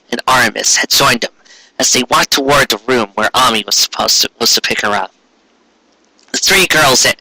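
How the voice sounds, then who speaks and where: A man's voice: mid-range voice, pushed and bright, no echo, low-quality recording. A man narrating fan fiction as a dramatic reading, now and then stumbling over the words.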